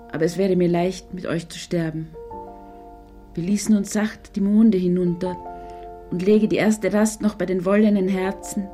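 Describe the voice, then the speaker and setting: A woman's voice: mid-range voice, mellow. A woman giving a scripted recitation of literary poetry. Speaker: reader of poems.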